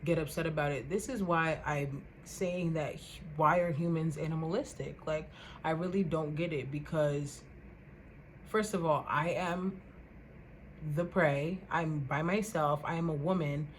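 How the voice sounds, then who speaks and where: Loud, indignant young woman ranting. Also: low voice, unhurried, a little hiss or hum, rough recording. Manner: animated, indignant, repeats words for emphasis. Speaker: vlogger. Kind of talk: casual rant to camera.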